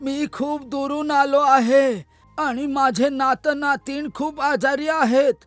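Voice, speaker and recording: A man's voice: very high voice, steady tone, a bit bright, dry recording, soft background music, heavily acted. An actor playing a weary, imploring poor old man in a children's cartoon. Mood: pleading. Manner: slow, imploring.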